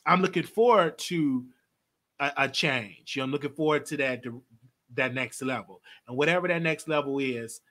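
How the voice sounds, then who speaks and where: Host, late 20s male, bright and lively, chatty and quick to laugh. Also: a little high. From Texas. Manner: chatty, animated, laughs often. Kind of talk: casual live call-in show.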